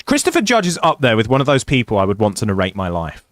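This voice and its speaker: Playful low-voiced man chatting over gameplay. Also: wildly swooping pitch, fast talker, no room sound, clean studio sound. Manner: chatty, playful, swears casually. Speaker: gaming channel host. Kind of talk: casual co-op gameplay chat.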